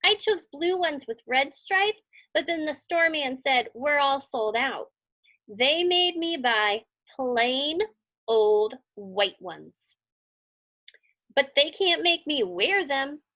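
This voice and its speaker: A woman reads a picture book to children at an unhurried pace. Her voice swings widely in pitch, and she leans dramatically on key words, dropping in conversational asides along the way. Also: animated, pushed and bright. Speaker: storybook reader. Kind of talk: animated children's read-aloud with conversational asides.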